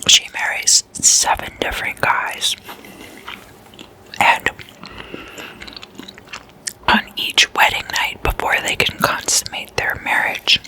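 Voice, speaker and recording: An ASMR host speaking in an unvoiced, breathy whisper close to the microphone, telling stories casually and softly repeating words. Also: slow pace, long pauses.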